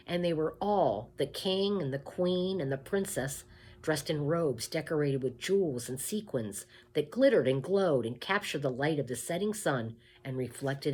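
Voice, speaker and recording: A woman reading a children's story aloud expressively, with deliberate emphasis, addressing the listener directly. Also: deep voice, darkish tone, husky.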